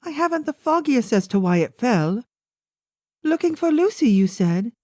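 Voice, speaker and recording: A woman's voice: a little high, sing-song, normal speed, dark tone, no room sound, studio quality. A woman playing a fretful, motherly old lady. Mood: startled. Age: older. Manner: fussy, motherly, easily flustered. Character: elderly fretful mother, gentle and easily startled. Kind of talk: audio drama acting.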